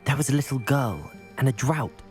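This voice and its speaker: A man's voice: mid-range voice, sing-song, moderate pace, soft background music, natural voice. A young man playing a composed, thoughtful student wizard in a video game, speaking politely and with reserve. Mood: earnest, explanatory. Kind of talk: video game cutscene acting.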